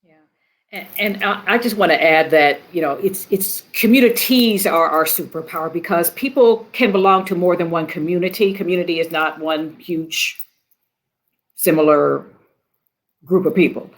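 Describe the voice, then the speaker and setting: A woman's voice: lowish voice, moderate pace. A woman speaking as a panelist, adding her remarks to a discussion.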